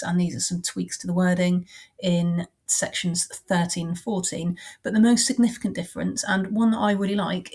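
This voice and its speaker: A woman with a heavy cold talks through housing regulations in a measured, practical way. Her voice is croaky and gravelly, sitting low with little rise and fall. She sounds like a working surveyor giving a plain explainer. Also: darkish tone. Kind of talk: informal explainer talk.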